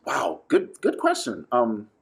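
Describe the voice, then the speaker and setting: A man's voice: mid-range voice, wildly swooping pitch, measured pace, creaky, husky. A man from Trinidad and New York talking casually as a podcast guest, joking and thinking aloud as he tells his story. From Trinidad, with summers in New York. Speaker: podcast guest, actor and director. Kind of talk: casual conversational interview, storytelling.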